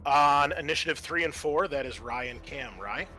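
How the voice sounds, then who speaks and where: A man's voice: fairly high pitch, moderate pace, bright, forceful tone. A man acting as game master for a tabletop roleplaying game, explaining turns and narrating in a conversational, improvised way.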